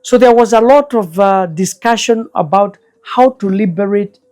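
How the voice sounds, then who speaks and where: An older man, a guest elder recalling the past in slow, measured speech. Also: high-pitched, a little mellow, soft background music. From Kenya. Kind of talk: reflective storytelling interview.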